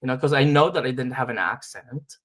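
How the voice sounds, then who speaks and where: A man from the Philippines speaks at a middle pitch as a filmmaker guest in an interview. He talks in a reflective, unhurried way, pausing on "um" and restarting phrases as he gathers his thoughts. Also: a bit bright. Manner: thoughtful, many fillers and restarts.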